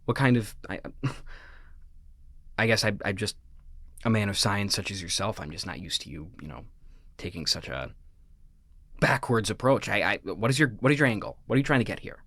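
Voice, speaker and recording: A man acting a suspicious, melodramatic son who styles himself a villain in a comedic audio drama, with grandiose, halting phrasing. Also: on the low side, expressive, fairly bright tone, no room sound, studio quality. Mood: incredulous, wary.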